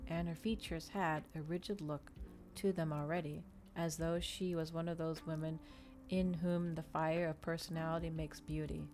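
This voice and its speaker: A woman doing a soft ASMR reading of a story, with measured, expressive storytelling, as a streamer reading aloud to her listeners. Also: low voice.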